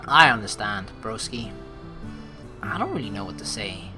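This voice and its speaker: A young man hosting a game playthrough, reading dialogue aloud and adding casual, slangy, expressive commentary. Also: lowish voice, normal speed. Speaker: let's play host. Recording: game music behind the voice.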